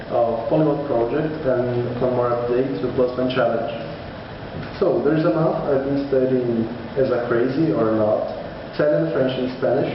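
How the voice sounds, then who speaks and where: A man whose first language is Portuguese, speaking English in a casual vlog, hesitant and restarting often, laughing at himself. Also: mid-range voice. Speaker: vlogger. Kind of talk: casual study-update vlog. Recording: far-off echoey hall, dull lo-fi with noise.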